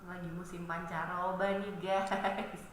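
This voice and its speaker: A woman's voice: on the low side, little pitch movement, slow. A woman hosting a live stream with a stuffy nose from a cold, chatting casually and greeting viewers by name as she reads their comments aloud. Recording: very echoey room with noise.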